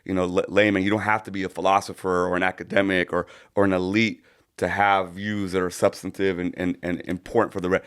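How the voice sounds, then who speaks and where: A man's voice: low-pitched, flat delivery, quick pace, fairly bright tone, clear, resonant tone. A man co-hosting a casual podcast, talking thoughtfully and rambling with many restarts. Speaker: podcast co-host.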